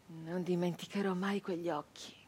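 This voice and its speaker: An actress playing an anguished, defiant 19th-century Italian peasant brigand woman in a theatrical monologue, with emphatic repetitions and dramatic pauses. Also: lowish voice, level tone, a little mellow, slightly rough. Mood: bitter, sombre. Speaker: stage actress performing a monologue.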